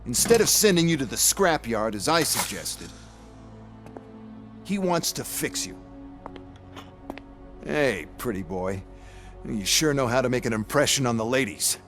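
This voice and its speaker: A man's voice: mid-range voice, animated, a little slow, pauses often, fairly bright tone, soft background music. An actor playing a contemptuous male corporate overseer in a game cutscene, mocking and dismissive. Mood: scornful. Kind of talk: video game cutscene acting.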